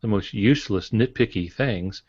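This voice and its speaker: A man co-hosting a writing podcast, speaking in a relaxed, thoughtful way with frequent pauses and fillers. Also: lowish voice, dry recording. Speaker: podcast co-host, author. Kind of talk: casual podcast chat. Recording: dull lo-fi audio.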